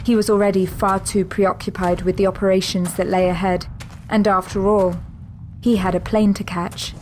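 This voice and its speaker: A woman's voice: medium pitch, flat delivery, normal speed, background noise. A woman narrator reading scripted, dramatic third-person narration for an audio drama.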